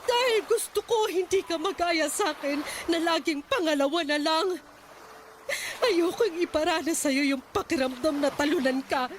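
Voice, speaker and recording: A woman's voice: extremely high pitch, normal speed, slight background noise. An actress playing a bitter, hurt middle-aged mother who scolds her daughter with emotional, reproachful outbursts. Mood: resolute. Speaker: voice actor in a radio-style drama.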